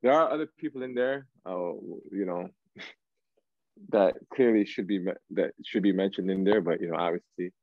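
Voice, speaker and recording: A low-voiced man talks loosely as a guest on a video call. He is slangy and halting, circling back as he hunts for words. The sound is close and dry but a bit lo-fi. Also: dry recording. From Canada, raised in Toronto. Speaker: guest R&B singer. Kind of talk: casual interview chat. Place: small room, indoors. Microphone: video call, device at arm's length.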